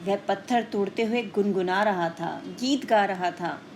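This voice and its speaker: A woman's voice: mid-range voice, measured pace, a little mellow, a little hiss or hum. A woman teacher telling a moral lesson to children in a didactic way, explaining and putting questions straight to her listeners. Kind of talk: scripted storytelling lesson addressed to children.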